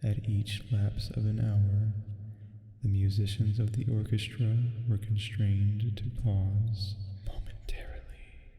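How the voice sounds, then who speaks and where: A man voicing a sleep paralysis demon, reading gothic fiction slowly for ASMR in a measured, hushed way with long pauses. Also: lowish voice, level tone. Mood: hushed, ominous. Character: male sleep paralysis demon reading a bedtime horror tale. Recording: heavily reverberant, echoing voice.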